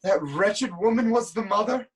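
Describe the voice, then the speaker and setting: A man's voice: sits high, animated, moderate pace, husky. An actor playing a proud, anguished king in a theatrical reading of Greek tragedy. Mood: demanding.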